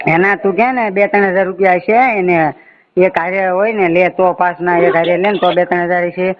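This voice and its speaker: High-pitched Gujarati man on a phone call. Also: steady tone, a little fast. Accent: Gujarati, Saurashtra. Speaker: caller asking questions. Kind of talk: casual phone chat. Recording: dull lo-fi phone call recording.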